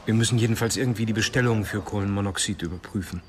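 A man's voice: lowish voice, rapid-fire, a little hiss or hum, natural voice. A man playing a practical, teasing police colleague who talks in a wry, matter-of-fact way in a radio crime drama.

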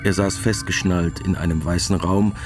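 A man's voice: sits low, fairly even intonation, moderate pace. A man narrating a scripted radio drama as its storyteller. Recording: music behind.

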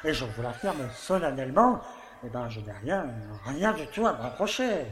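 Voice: mid-range voice, very animated, moderate pace, a little hiss or hum, close to an everyday voice. Someone acting a pompous flustered mayor guy, stammers, gets defensive, swings up and down, echoey room. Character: flustered, evasive small-town mayor denying accusations, male. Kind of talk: dramatic audio fiction acting.

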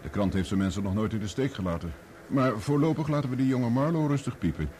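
An actor playing a brisk newspaper boss in a Dutch radio play, decisive and dismissive. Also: male voice, medium pitch, animated, a little mellow, close to an everyday voice. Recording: old radio play, clear background noise.

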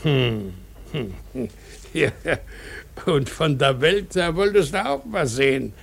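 An actor playing a blustering old whaling captain in a German radio play, gruff, bullying and mocking. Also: male voice, on the high side, lively intonation, slow pace, heavily acted. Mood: challenging, mocking.

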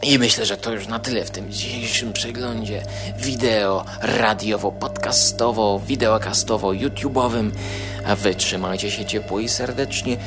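A man's voice: lowish voice, fairly even intonation, moderate pace. A man with a Polish accent hosting an informal podcast roundup, talking colloquially and repeating phrases for emphasis in a mock-aggrieved way. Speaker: podcast and video show host. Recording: music behind the voice. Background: music.

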